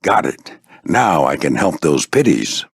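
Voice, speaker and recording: Folksy old warplane mentor, lively intonation. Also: male voice, extremely low pitch, a little slow, husky, no echo, studio quality, full character voice. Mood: encouraging, folksy. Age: older. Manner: folksy, avuncular, military clipped phrasing. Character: gruff old veteran warplane flight instructor, fatherly storyteller. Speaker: squadron skipper and mentor. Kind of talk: animated video game character voice lines.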